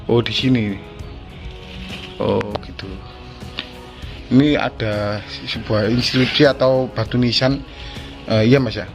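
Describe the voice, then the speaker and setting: A man hosting a casual vlog outdoors, asking quick questions and repeating the answers back. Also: medium pitch, steady tone, dull audio. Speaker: vlog host. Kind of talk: casual field vlog interview. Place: outdoors at an old graveyard. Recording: music bed under the talk.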